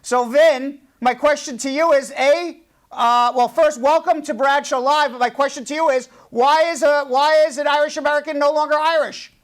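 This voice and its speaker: A middle-aged man hosts a talk show from a studio desk. He fires brisk, direct questions and keeps restating what his guests say. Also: very high voice, steady tone. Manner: brisk, questioning, repeats points back. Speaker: show host.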